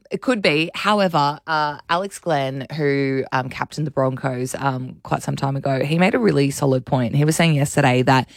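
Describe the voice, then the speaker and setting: A young Australian woman co-hosting breakfast radio, her voice hoarse and raspy, chatting in a rambling way with frequent laughs. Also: extremely low pitch, moderate pace, no echo, clean studio sound. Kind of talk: casual breakfast radio banter. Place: radio studio.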